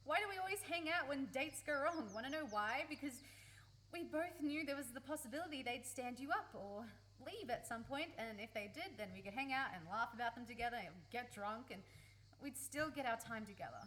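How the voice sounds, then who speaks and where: An actress plays a young woman with a fairly high voice, lovesick and blunt. She pleads with raw emotion in a radio play, over faint background noise. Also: animated, brisk, a bit bright. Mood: hurt, sardonic. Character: young woman, lovesick, blunt, hurt best friend confessing love.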